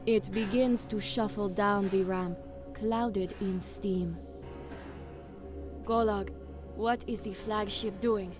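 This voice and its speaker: A woman performs a solemn alien commander from a science fiction audio drama. She speaks slowly and with weight, measured and dramatic, in a mid-range voice with music behind it. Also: medium pitch, steady tone, lightly acted. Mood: resolute. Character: alien woman commander, grave narrator, fierce when giving orders. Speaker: narrator and lead character. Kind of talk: dramatic first-person audio drama narration.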